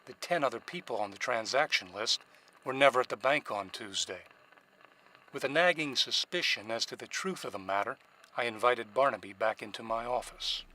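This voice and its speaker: A man's voice: medium pitch, lively intonation, normal speed, bright, forceful tone, poor audio. A man playing a shrewd, cynical private investigator in a radio drama, both narrating and questioning in a noir style. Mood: wry, narrating. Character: shrewd hard-boiled male private investigator, cynical and probing.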